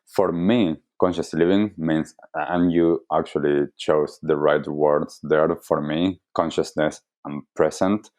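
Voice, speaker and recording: A man with an Argentine accent giving reflective interview answers as a wellness coach, explaining deliberately and earnestly. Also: a bass voice, fairly even intonation, a little mellow. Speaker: wellness coach, podcast guest.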